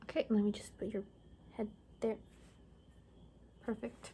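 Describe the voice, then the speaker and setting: Casting: a woman to play a chatty apocalypse confidante for ASMR roleplay. Mellow, soft, breathy voice sliding into whispers, loose rambling delivery, slight ambient noise. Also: mid-range voice, little pitch movement, a little slow, lightly acted. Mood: casual, attentive. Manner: rambling, casual, gossipy, full of filler words. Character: chatty young woman in zombie apocalypse compound, gossipy friend.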